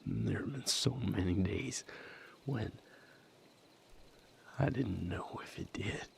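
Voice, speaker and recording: Casting: male actor as tormented lover for romance audio drama, deep and hushed, very slow, halting. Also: slight background noise, heavily acted. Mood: anguished, weary. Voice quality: breathy, gravelly whisper. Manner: halting, hushed, fraught delivery with long pauses. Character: anguished male lover comforting and seeking comfort, vampire romance. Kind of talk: intimate audio roleplay, dramatic acting.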